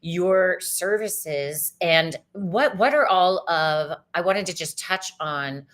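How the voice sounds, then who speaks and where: Female host, about fifty. Slightly lower register, bright and punchy tone, effusive, slangy, conversational. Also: takes it slowly. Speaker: podcast host, astrologer. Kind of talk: casual chat. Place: closet recording setup.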